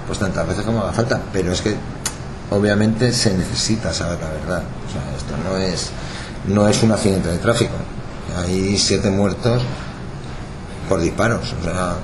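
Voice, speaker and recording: Middle-aged man, deep voice, halting interview answers, echoey noisy room. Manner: halting, restarts words, frequent filler "o sea". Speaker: interviewee, retired intelligence agent. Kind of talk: documentary interview. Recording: echoey room with background noise.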